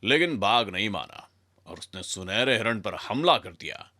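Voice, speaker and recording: A man's voice: on the low side, expressive, normal speed, bright, forceful tone, no echo, clean studio sound. A man narrating a scripted children's story in a dramatic, direct way as a storyteller.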